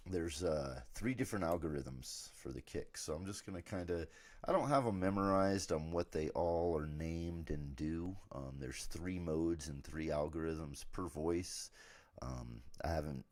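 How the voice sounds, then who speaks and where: A man with a raspy, worn throat from being ill, casually walking through gear on a live stream with lots of fillers. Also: a bass voice, measured pace. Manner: relaxed, rambling with many fillers.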